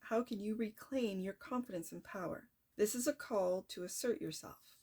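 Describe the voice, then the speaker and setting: A woman with a soft, mid-pitched voice gives a casual tarot reading. She talks straight to her viewers, chatty and reflective, stopping often to muse on what she means. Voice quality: soft-spoken. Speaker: tarot reader.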